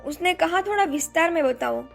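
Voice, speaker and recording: A woman's voice: very high voice, expressive, brisk, vocal fry, soft background music. A woman reading scripted moral stories for children as a narrator. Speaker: story narrator.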